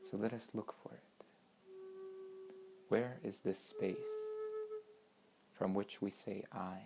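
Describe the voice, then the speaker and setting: A woman leading a guided meditation in a measured, instructing manner, with long pauses between short phrases. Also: a bass voice, steady tone. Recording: voice over meditation music with flute.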